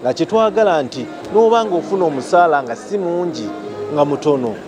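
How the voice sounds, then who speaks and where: A man with a Ugandan accent, a bank official, explaining loans in a halting interview soundbite at an outdoor event. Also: fairly high pitch, expressive, a crowd in the background. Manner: halting, with fillers and restarts. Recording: music playing behind.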